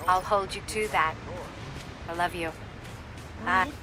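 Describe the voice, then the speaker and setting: An actress playing a caring, worried mother who gently nags her son in a game cutscene. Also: lowish voice, fairly even intonation, slow pace, over music. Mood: concerned. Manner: warm, gently nagging.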